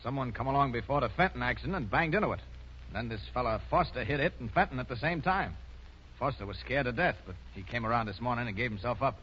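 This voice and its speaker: A folksy small-town policeman played by a male actor in a 1930s radio drama. He talks informally and slangily at a medium pitch. The recording is muffled, with a faint layer of noise. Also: expressive, fast talker, fairly bright tone, natural voice. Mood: casual. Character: affable small-town policeman making a casual report.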